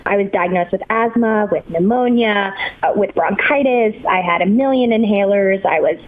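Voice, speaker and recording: A woman in her twenties with an American accent, telling personal stories in a chatty, animated, lightly self-deprecating way as an interview guest. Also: medium pitch, normal speed. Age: 20s. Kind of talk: casual personal storytelling. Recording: thin phone-like call audio.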